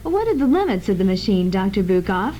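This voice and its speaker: A woman's voice: mid-range voice, very animated, fairly quick, warm tone, muffled recording, slight background noise, close to an everyday voice. A woman playing a persistent, curious newspaper reporter in an amateur radio drama, asking direct questions. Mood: curious, pressing.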